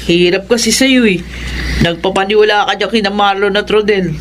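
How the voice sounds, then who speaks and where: An actress playing a chatty, teasing older sister with a Filipino accent in a radio drama, with background noise. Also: female voice, medium pitch, fairly even intonation, normal speed, natural voice. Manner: playful, chatty needling. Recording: clear background ambience noise.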